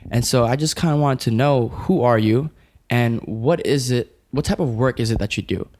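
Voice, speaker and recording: A young adult man hosts a podcast in a casual, upbeat manner. His voice sits at an ordinary middle pitch, and he leans on relaxed fillers as he asks questions. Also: normal speed. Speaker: podcast host. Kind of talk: podcast interview.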